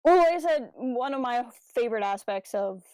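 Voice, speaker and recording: A woman co-hosting a podcast, talking casually as a fan and telling stories in an animated, slangy, enthusiastic way. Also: on the high side, moderate pace, no echo, poor audio. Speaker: podcast co-host.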